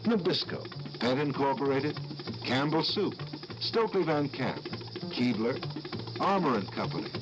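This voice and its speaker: Animated male announcer over music. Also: mid-range voice, measured pace, long pauses, low-quality recording. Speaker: commercial announcer. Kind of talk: scripted advertising narration.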